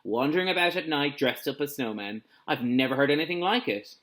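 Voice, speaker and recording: A storybook police inspector , read aloud by a man, speaking at a middle pitch. He is official and measured, stressing his points, stern but ready to approve. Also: animated, a bit bright, natural voice.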